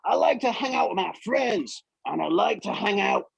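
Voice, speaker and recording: A man's voice: expressive, normal speed, no echo, lo-fi sound, heavily acted. A man voicing a brash, boastful puppet who plays the ladies' man, with a pushy, emphatic way of talking in a comic interview. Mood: cocky, flirty. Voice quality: high, creaky, raspy. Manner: cocky, pushy, emphatic, repeats himself. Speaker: puppet podcast guest.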